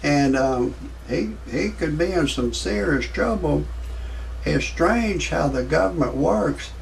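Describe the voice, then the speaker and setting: Male, 60s, gravelly and slightly high-pitched, folksy rambling commentator, noisy home recording. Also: expressive, distant mic. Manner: folksy, repetitive, halting delivery. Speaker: amateur online video commentator. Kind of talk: rambling off-the-cuff commentary with jokes.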